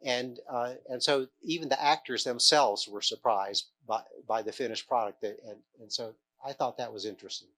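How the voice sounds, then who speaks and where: A man speaks off the cuff as an interviewee, with a bright, forward voice at a middling pitch. His delivery is halting, and he often stammers and doubles back on his words. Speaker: film extra interviewed at an event. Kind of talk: unscripted interview answers.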